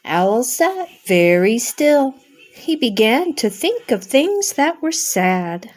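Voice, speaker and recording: A middle-aged or older woman, a grandmother, narrating a children's storybook aloud with simple, expressive phrasing. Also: fairly high pitch, takes it slowly. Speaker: grandmother reading a bedtime story.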